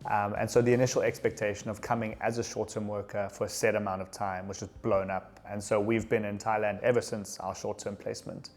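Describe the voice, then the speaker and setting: A man reflecting in a measured way, with frequent ums. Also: lowish voice, level tone, a little mellow.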